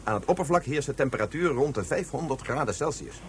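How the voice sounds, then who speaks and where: A man playing an earnest physicist father who explains things briskly in a Dutch science fiction radio play. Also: medium pitch, expressive, slight background noise, lightly acted. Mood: brisk, explanatory.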